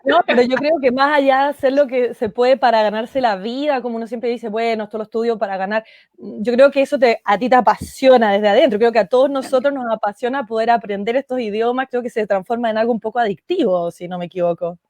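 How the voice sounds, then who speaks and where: A woman hosting an interview on a video call, upbeat and quick to react. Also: a little high, darkish tone, no echo. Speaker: host.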